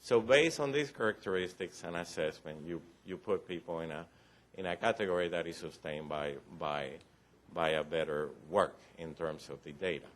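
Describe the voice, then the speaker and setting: A man with a very low, deep voice and a Cuban accent gives a formal briefing in a county meeting room. He explains patiently, often restarting phrases, and adds a little light humor. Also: moderate pace. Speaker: presenter to county commissioners.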